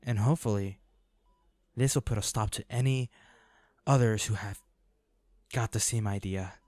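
A man's voice: on the low side, moderate pace, darkish tone, no echo, lightly acted. A man playing a protective, devoted hunter boyfriend in a dramatic roleplay audio, speaking earnestly and intensely. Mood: tender, weary.

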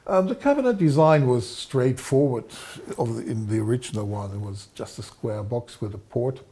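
A man in his sixties explaining technical matters in an interview, speaking in a measured, deliberate way. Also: mid-range voice, expressive, dark tone. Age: 60s. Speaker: guest, loudspeaker designer. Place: studio set, seated indoors.

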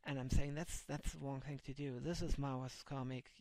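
German-accented man with a gravelly voice. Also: medium pitch, steady tone, normal speed, dark tone, no echo. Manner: rambling, many fillers and restarts. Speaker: vlogger and comic translator. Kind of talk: casual video blog talk. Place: small dim room indoors. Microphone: headset mic.

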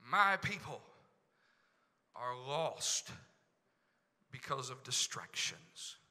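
A middle-aged pastor with an Oklahoma accent preaching into a handheld microphone in a large church sanctuary, dropping to a hush and then rising to shouts. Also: male voice, medium pitch, expressive, extremely slow. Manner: swings from hushed to shouting. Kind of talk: preaching a sermon. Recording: long hall reverb in church.

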